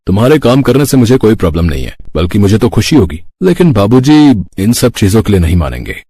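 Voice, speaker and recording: A man's voice: lowish voice, sing-song, fast talker, mellow, breathy edge, dry recording. A man voicing a hard-working, weary young husband and father, earnest and resigned, in a cartoon moral story. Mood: caring.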